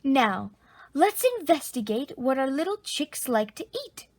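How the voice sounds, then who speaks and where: Woman acting female schoolteacher, storybook, high-pitched, swooping, placating, brisk and dismissive. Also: big pitch swings, darkish tone, no echo, heavily acted. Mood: bright, encouraging.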